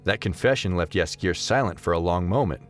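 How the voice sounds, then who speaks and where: A man narrating a scripted story in an expressive storytelling manner. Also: deep voice, a little fast, faint music behind.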